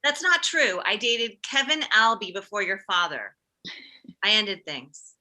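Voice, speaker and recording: A woman's voice: mid-range voice, big pitch swings, a little slow, sharp tone, full character voice, low-quality recording. A woman playing a self-absorbed but well-meaning mother of forty-five in a comedy table read, emphatic and fussing. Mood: concerned.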